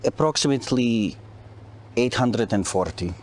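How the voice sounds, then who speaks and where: A man's voice: medium pitch, expressive, a little slow, a little mellow, natural voice. A man playing a callous Soviet general in a game cutscene, formal and clipped in speech. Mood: stiff, deferential. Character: stiff, callous male Soviet general, deferential to his leader. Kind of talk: dramatic video game cutscene acting. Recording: noisy game cutscene audio.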